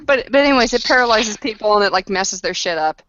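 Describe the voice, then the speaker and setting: A woman chatting casually as a player in a tabletop roleplaying game, slangy, argumentative and quick to swear. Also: mid-range voice, expressive, fairly bright tone. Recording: dull, close lo-fi recording.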